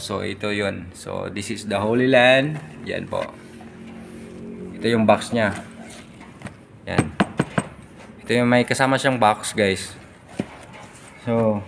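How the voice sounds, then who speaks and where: A man in his thirties with a Filipino accent, giving a casual product review in short, halting bursts as he searches for words. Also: on the low side, vocal fry, a little hiss or hum. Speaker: vlogger reviewing gear. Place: large tiled indoor hall. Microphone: phone at arm's length.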